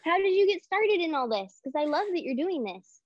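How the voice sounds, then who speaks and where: A young woman guesting on a podcast over a video call, her voice thickened by a cold, expressive and laughing easily. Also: very high-pitched, fairly quick, dry recording. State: under the weather, voice thickened. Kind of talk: casual interview chat. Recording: dull lo-fi call audio.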